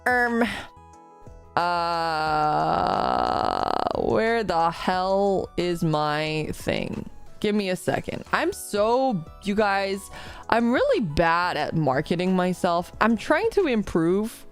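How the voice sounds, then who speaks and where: A woman's voice: on the low side, very animated, extremely slow, smooth, faint music behind. A woman streaming live, chatting casually and reading out her chat, slightly congested from a recent illness and rambling in a self-deprecating way.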